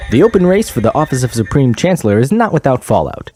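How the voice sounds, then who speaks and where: A smug, condescending male local TV anchor, played by an actor in a satirical newscast. His voice is mellow and his pitch moves around in a lively way as he bluntly airs his opinions. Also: fast, breathy edge, faint music behind.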